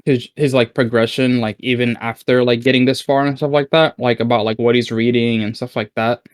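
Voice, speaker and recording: A man's voice: medium pitch, steady tone, brisk, clean tone. A man in a group voice chat with a mid-range voice. His talk is loose and casual, full of "like", and he drifts from point to point on a home computer mic. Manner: casual, heavy use of "like", laughs easily. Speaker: discord chat participant. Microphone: home computer mic on voice call.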